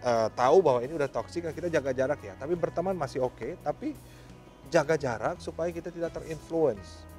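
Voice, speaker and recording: Pastor chatting advice over music. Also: male voice, fairly high pitch, fairly quick, darkish tone. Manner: informal, mixes English words into speech. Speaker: pastor answering questions. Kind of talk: conversational religious advice.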